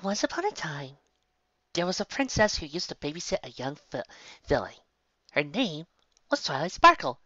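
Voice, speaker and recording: A woman's voice: low voice, sing-song, moderate pace, sharp tone, no echo. A woman reading fanfiction aloud and chatting casually between stories, in a dull lo-fi home recording. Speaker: fanfiction reader.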